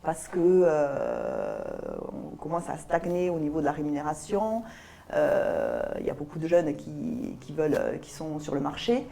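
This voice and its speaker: A middle-aged woman with a southern French accent and a soft, mellow, slightly low voice. She gives considered interview answers, pausing mid-phrase as she looks for the right word. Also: very animated, creaky. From south of France (Albi). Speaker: guest, business lawyer. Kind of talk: interview answers about career.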